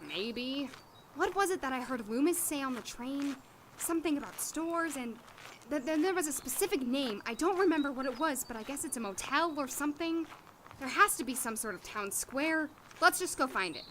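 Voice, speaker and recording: Actress as newcomer doctor for audio drama: sharp high voice, wry, rambling, quick to take offense. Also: female voice, lively intonation, slight background noise. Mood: musing, unsure. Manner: rambling, wry muttering to herself. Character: flustered, sarcastic, indignant young woman doctor new in town.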